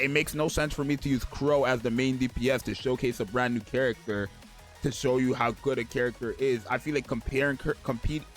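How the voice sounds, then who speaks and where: Slightly raspy just-woke-up man. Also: mid-range voice. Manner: rambling, keeps asking "right?". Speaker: gaming video host. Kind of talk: casual gameplay commentary.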